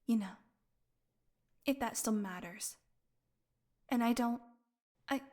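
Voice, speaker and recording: Girl voice acting a starving captive vampire lady, kinda high voice, real slow n breathy, whispery, snarky but desperate, audio roleplay. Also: a little mellow. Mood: pleading, haunted. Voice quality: breathy, often falling to a whisper. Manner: halting, weary, sardonic. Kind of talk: intimate dramatic audio roleplay.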